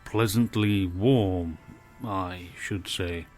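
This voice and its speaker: A man's voice: low voice, fairly even intonation, extremely slow, a little mellow, faint music behind. A man playing an affable ancient god of the forge in an audio drama, mild and formal in speech. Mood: awkward small talk.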